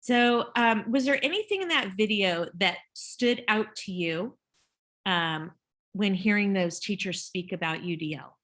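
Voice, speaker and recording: A woman's voice: medium pitch, unhurried, a bit bright. A woman presenting a teacher-training workshop over a video call, chatty, with fillers and restarts. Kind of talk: casual conference presentation.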